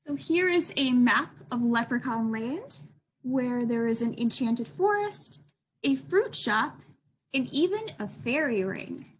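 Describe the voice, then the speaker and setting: A woman's voice: on the high side, animated, slow pace, slight background noise, rough recording. A woman librarian reading aloud for a children's storytime, expressively addressing young listeners.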